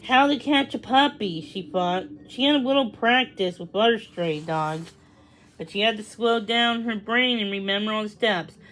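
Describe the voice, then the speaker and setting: A woman's voice: medium pitch, moderate pace, fairly bright tone, slight background noise, poor audio. A woman narrating a children's story as a read-aloud. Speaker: storybook reader.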